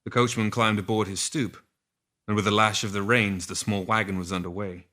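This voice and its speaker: A man's voice: on the low side, fairly even intonation, brisk, bright, forceful tone. A man reading fantasy fiction aloud as an audiobook narrator. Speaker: story reader.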